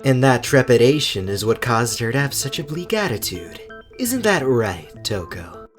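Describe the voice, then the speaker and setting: Woman playing a very low-voiced haughty young man. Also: sing-song. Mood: cool, explanatory. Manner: measured, disdainful, condescending. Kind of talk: anime game fandub acting. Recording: loud music behind. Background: music.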